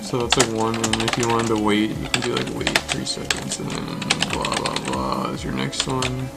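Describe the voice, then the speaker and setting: A relaxed young man streaming, walking viewers through code in a flat, easygoing way with lots of filler words. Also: mid-range voice, moderate pace, gravelly. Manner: monotone, relaxed, lots of "like" and "basically". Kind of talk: casual coding stream walkthrough. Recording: music playing under the voice. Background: music and keyboard typing.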